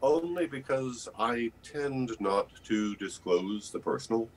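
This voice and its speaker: An older man giving a personal, deliberate interview answer with long pauses over a video call. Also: mid-range voice, expressive, a little hiss or hum, poor audio. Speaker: voice actor. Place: indoor room lined with books.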